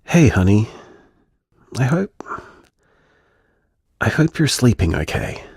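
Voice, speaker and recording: A man playing a tender, protective boyfriend in an audio drama, gentle, earnest and reassuring. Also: mid-range voice, very animated, slow, background noise. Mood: tender, worried. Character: tender, protective boyfriend comforting his hurt partner. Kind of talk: audio drama voicemail monologue.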